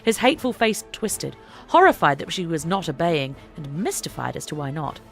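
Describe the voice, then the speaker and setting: A woman's voice: deep voice, very animated, normal speed, gravelly, soft background music. A woman narrating an audiobook story in a dramatic, scripted reading style.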